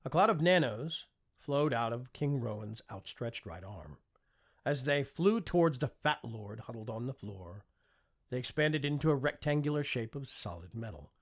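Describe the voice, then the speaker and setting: A man's voice: medium pitch, expressive, moderate pace. A man narrating fiction as an audiobook reader, in a dramatic, emphatic scripted style.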